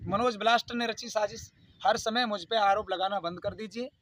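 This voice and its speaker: A man's voice: sits high, fairly even intonation, normal speed, a bit bright, slight background noise. A man giving an unscripted video statement, speaking in an aggrieved, insistent, pleading and repetitive way. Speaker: YouTube channel host.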